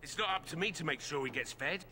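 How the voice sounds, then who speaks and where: An actor playing a grudging, callous soldier on guard duty in a fantasy game, surly and sardonic. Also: male voice, a little high, animated, fast talker, sharp tone, a little hiss or hum. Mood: grudging indifference.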